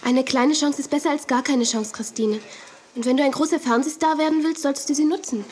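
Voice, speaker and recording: A woman's voice: sits high, steady tone, a little fast, darkish tone, natural voice. A woman playing a kind, encouraging young television contestant in a children's audio drama.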